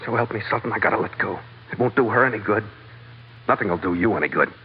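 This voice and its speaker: World-weary sea captain, muffled radio. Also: male voice, on the low side, lively intonation, quick pace, a little hiss or hum. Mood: bitter. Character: world-weary, brooding sea captain, tough but tender. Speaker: lead actor and narrator. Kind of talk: 1940s radio acting, first-person narration. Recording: muffled old radio recording over loud music.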